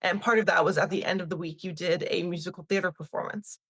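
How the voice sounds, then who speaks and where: A chatty woman in her thirties, raised in Canada, speaks at the lower end of women's pitch. She is recorded close and dry, with no room sound. She talks easily, with lots of "um"s and restarts. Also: steady tone, quick pace, a bit bright, breathy edge, no room sound. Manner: chatty, many fillers and restarts, laughs lightly. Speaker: talent agency CEO. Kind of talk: casual interview answers.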